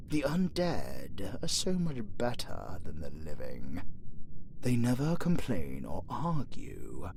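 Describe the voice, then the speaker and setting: A British man playing a gleeful, flirtatious undead necromancer villain in a dark-comedy romance audio roleplay, theatrical and boastful as he talks to a lover. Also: fairly low pitch, gravelly, noisy background, full character voice. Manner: theatrical, swinging from intimate murmurs to shrieking outbursts.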